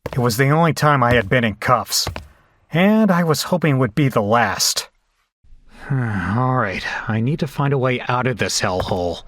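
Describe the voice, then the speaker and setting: Horror drama lead, male actor: shaken survivor telling the tale gravely and unhurriedly, in a dry space. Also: medium pitch, expressive, unhurried, a bit bright, no echo. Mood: weary relief, then tense, wary. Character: shaken horror survivor recounting a bloody escape. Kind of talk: first-person horror audio drama narration.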